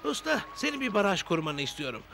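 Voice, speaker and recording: A man playing a wise old fatherly gnome leader in a cartoon dub, giving instructions with gravelly authority. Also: sits high, big pitch swings, fast, bright, forceful tone, soft background music, full character voice. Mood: concerned, commanding.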